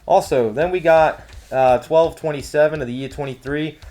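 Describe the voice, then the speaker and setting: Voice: medium pitch, moderate pace, a little mellow. A co-host of a casual news show who rambles jokily through announcements, breaking into goofy exclamations. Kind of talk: casual comedic banter while reading event announcements.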